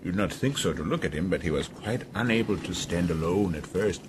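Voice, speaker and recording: An actor playing a domineering, mocking sea captain in a radio drama, sardonic and probing, with deliberate hums. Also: male voice, deep voice, expressive, noisy background.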